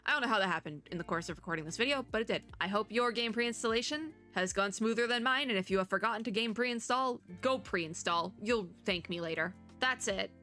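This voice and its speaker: A woman with a bright, forward voice and a medium pitch gives casual reaction commentary. She talks like a chatty video host, rambling and restarting her thoughts as she goes. Soft music plays behind her. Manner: chatty, rambling, self-interrupting. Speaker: YouTuber host.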